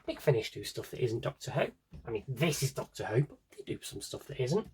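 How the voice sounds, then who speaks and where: Man chatting, very lively pitch swings. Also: husky. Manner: enthusiastic, chatty, given to asides to himself. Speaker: video host showing a personal CD collection. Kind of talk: casual rambling show-and-tell chat.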